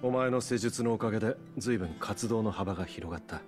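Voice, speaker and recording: A man acts a brooding mercenary hero in a fantasy video game. His voice is very low, his speech terse and restrained, with music playing behind. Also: a little fast, close to an everyday voice. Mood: businesslike. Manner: low-key, serious, restrained. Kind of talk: Japanese video game voice acting.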